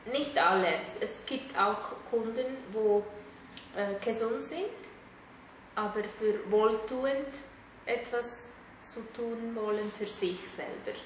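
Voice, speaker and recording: Slow Sri Lankan woman in a noisy room. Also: fairly high pitch. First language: Tamil. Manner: hesitant, simple second-language phrasing. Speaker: interviewee, therapist. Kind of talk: interview answers. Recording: echoey room, clear background noise.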